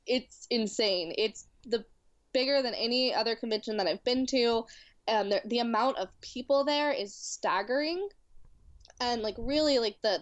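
A woman's voice: on the high side, dry recording, muffled recording. A woman in her twenties from Louisiana, a cosplayer chatting casually as a guest and filling her talk with "like". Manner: chatty, heavy with "like" fillers. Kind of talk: casual chat.

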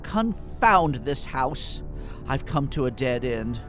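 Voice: medium pitch, sing-song, takes it slowly, fairly bright tone, soft background music. An actor playing a timid, morose young boy with theatrical, old-fashioned wording in a comic radio play. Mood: panicked. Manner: theatrical, florid antique wording.

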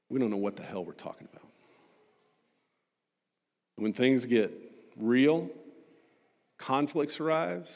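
A man delivering a sermon to a congregation as a preacher, emphatic and rhetorical, building points through repetition and pointed pauses. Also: mid-range voice, darkish tone. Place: large reverberant hall. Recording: long hall reverb.

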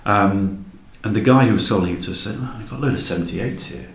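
Man, deep voice, informal anecdotes, casual podcast chat, echoey room. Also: fast. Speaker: podcast guest, show creator. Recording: roomy, echoey sound.

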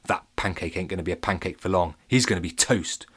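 A man voicing a scheming brown banana, speaking low and slow. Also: fairly bright tone, slightly rough. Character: scheming laid-back male brown banana.

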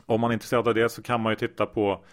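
A man's voice: lowish voice, level tone, racing. A man hosting a podcast, speaking casually with many filler sounds. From Sweden. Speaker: podcast host.

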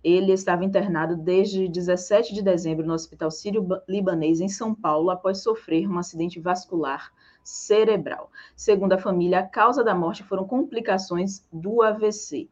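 A woman's voice: on the low side, moderate pace, muffled recording. A woman with a Bahian Brazilian accent presenting live news in an informal, chatty way as a co-host.

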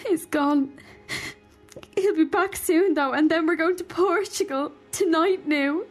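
Tearful, panicked young woman, put on by a female reader; very high, slow and weepy. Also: soft background music. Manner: crying, pleading.

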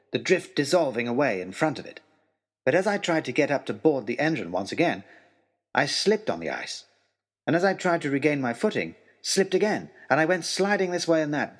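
A man narrating a first-person adventure story in an expressive, theatrical way. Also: on the high side, a little fast.

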